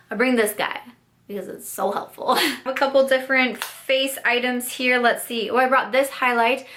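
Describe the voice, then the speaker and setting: A young woman vlogs about her makeup with a voice gone hoarse and raspy. She chats along brightly and casually, tossing in plenty of "like". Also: mid-range voice. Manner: bubbly, upbeat, lots of "like". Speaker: beauty vlogger. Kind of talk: casual chatty vlog.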